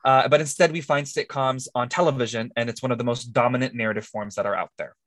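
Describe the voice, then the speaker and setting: A man's voice: medium pitch, steady tone, fast talker, a bit bright, dry recording, studio quality. A man co-hosting a podcast, explaining things in a casual, jokey, conversational way. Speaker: podcast co-host.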